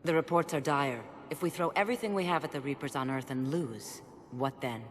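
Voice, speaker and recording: A woman's voice: low voice, a little fast, a little hiss or hum, lightly acted. A woman playing a stern, proud alien councilor in a video game, formal, clipped and dismissive. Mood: skeptical. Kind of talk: dramatic video game acting. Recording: echoing hall, faint background ambience.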